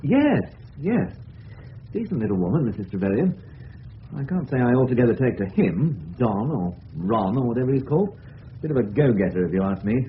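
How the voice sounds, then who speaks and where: A man's voice: medium pitch, big pitch swings, brisk, natural voice. An actor playing a chummy, opinionated, old-fashioned middle-aged English father in a 1930s radio drama. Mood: indignant, chatty. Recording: noisy tinny old radio recording.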